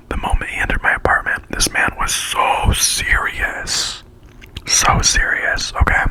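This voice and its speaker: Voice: slow pace, long pauses. A speaker whispering a slangy, chatty storytime in ASMR style while eating, acting out quoted lines along the way.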